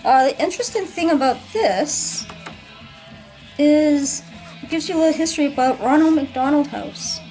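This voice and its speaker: Hoarse woman, higher voice, rambling casual record-collector vlog, faint music behind. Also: moderate pace, warm tone, poor audio. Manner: chatty, rambling, many ums and restarts. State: hoarse, late-night tired. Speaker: vinyl collector vlogger. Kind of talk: casual show-and-tell vlog.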